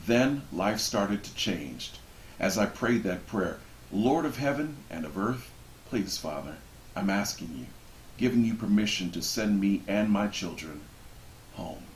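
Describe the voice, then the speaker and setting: A man reading a book passage aloud expressively, with measured, deliberate phrasing and dramatic pauses. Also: on the low side, animated. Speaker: reader of a book introduction. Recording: roomy echo with background noise.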